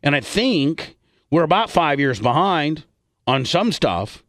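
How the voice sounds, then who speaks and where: A male radio host with a fairly high voice talks off the cuff in a very dry studio. He is blunt and salty-tongued, speaking in an unscripted radio style. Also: sing-song, slow pace, fairly bright tone, no room sound.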